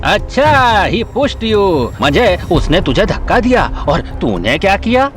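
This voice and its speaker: A man's voice: high-pitched, sing-song, slow, a bit bright, soft background music, heavily acted. A man acting a brash, bullying police inspector in an audio drama, commanding and sarcastic. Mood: mocking. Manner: mocking, gruffly commanding.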